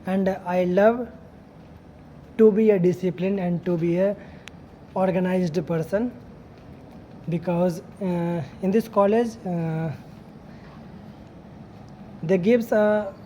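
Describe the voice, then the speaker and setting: A young woman from Bihar, India, with an Indian English accent and Hindi as her first language, practicing spoken English in a halting, unscripted monologue full of fillers and restarts. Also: lowish voice, warm tone, slight background noise, poor audio. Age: young adult.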